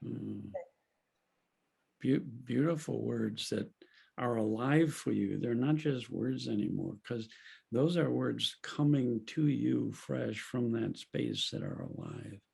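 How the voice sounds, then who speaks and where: A man with a deeply gravelly voice gives a reflective teaching talk over a video call. He speaks slowly and gently, with a husky edge. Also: sing-song. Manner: gentle, unhurried, laughs softly. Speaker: spiritual teacher leading a group call.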